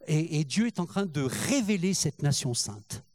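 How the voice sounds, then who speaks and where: An older man preaching a sermon in French, halting and repeating words, rising in fervor as he goes. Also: a little high, sing-song, a little mellow, no room sound. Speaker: pastor.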